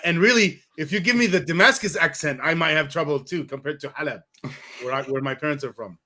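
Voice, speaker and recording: Male podcast host, fairly high and bright voice; friendly, thinks aloud, leans on "you know". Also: brisk. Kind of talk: casual conversation.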